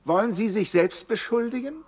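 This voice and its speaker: A man's voice: high voice, lively intonation, moderate pace, darkish tone, slight background noise. An actor playing an irritable, haughty state secretary in a radio play, imperious and sarcastic with his subordinates. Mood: sharp, scornful.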